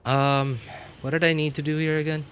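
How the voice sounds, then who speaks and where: A man giving casual live gameplay commentary, thinking aloud in a halting way with sarcastic asides. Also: a little high, level tone, fairly bright tone, a little hiss or hum. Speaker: game streamer.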